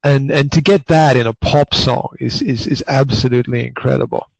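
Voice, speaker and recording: A man's voice: medium pitch, fairly even intonation, moderate pace, creaky, poor audio. A man in his forties hosting a casual interview, talking in bursts with many repeated words and restarts. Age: 40s. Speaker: podcast host.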